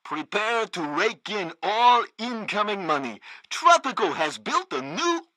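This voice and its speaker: Game radio news host, smug and flippant, very lively, close dry sound. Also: female voice, medium pitch, measured pace, no echo. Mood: breezy deadpan. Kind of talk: satirical scripted radio news.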